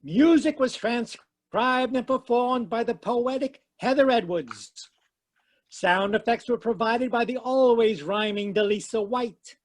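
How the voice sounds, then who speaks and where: A man's voice: very high-pitched, measured pace. A man hosting and announcing a radio drama, formal with playful asides in the credits. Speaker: announcer and host. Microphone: home mic over video call.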